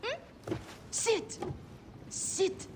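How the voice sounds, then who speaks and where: Fussing, slow-spoken healer. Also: female voice. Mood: concerned. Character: caring, fussing, exasperated woman healer friend. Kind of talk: dramatic video game cutscene acting. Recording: clear background noise.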